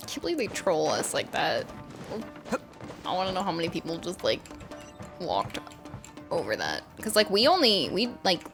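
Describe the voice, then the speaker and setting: A woman's voice: on the low side, wildly swooping pitch, normal speed, gravelly, long pauses, music in the background. A woman streaming a video game, reacting casually and playfully heckling the characters. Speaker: streamer, VTuber.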